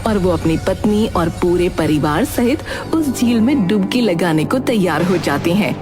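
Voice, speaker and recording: A woman's voice: fairly low pitch, animated, measured pace, music in the background. A woman reading scripted storybook narration as the narrator of a moral tale.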